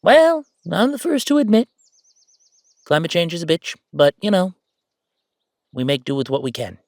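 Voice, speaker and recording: A man's voice: fairly high pitch, sing-song, moderate pace, dry recording, clean studio sound. A man playing a chatty, easygoing ghost who died at thirty-five, rambling casually with wry asides in an audio roleplay. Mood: chatty, upbeat. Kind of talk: conversational audio roleplay monologue.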